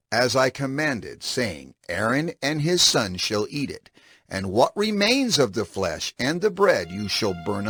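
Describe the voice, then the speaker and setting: Authoritative biblical leader, creaky gravelly voice, animated intonation, solemn, declamatory, dramatized scripture. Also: male voice, mid-range voice, dry recording, full character voice.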